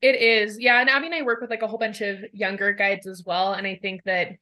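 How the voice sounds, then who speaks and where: Loud, bright-voiced woman guide. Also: medium pitch, fairly even intonation, quick pace. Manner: loud, outgoing voice. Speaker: mountain guide, guest. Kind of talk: casual interview talk.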